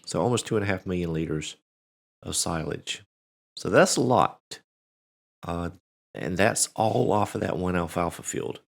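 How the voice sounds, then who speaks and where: A man hosting a farming game playthrough, congested and a bit cracking, talking in a relaxed, rambling way with many fillers and restarts. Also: low-pitched, unhurried, gravelly, long pauses. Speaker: let's play host. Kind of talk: casual gameplay commentary.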